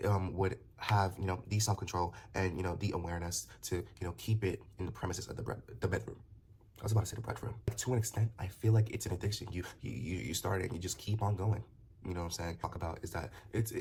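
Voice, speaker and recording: A man's voice: low-pitched, darkish tone, a little hiss or hum. A young man vlogging to camera in a casual, rambling way. He stammers and restarts often, leans on fillers, and turns heated and emphatic as he argues his point. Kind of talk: casual talking-to-camera commentary.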